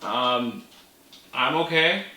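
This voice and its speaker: A person telling a story in a casual vlog manner, animated, with playful exclamations. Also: medium pitch, extremely slow. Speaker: vlogger. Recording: roomy, distant mic with faint noise.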